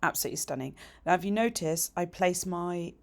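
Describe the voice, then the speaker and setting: A middle-aged woman with a croaky voice, chatting her way through a nail tutorial voiceover with practical, cheerful asides. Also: lowish voice, fairly quick, a little mellow. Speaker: nail technician teaching a tutorial.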